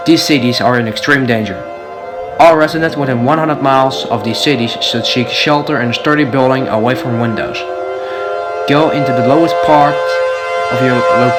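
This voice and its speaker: A synthetic male voice playing a stern emergency alert announcer, reading a formal scripted warning in a flat, stilted way. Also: mid-range voice, natural voice. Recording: loud music under the voice. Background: loud music.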